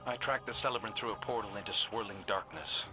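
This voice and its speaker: An actor playing a gentle, brooding amnesiac guardian in a video game, speaking softly and reflectively. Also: male voice, lowish voice, quick pace, music in the background, close to an everyday voice. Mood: focused. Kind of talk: dramatic video game cutscene acting.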